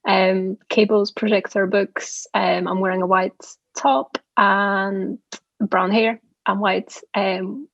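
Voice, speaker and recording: A woman's voice: mid-range voice, fairly even intonation, slow pace, vocal fry, a bit bright, no echo, lo-fi sound. Hesitant woman with a Bulgarian accent. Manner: hesitant, many ums. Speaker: service designer. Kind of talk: casual self-introduction. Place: living room.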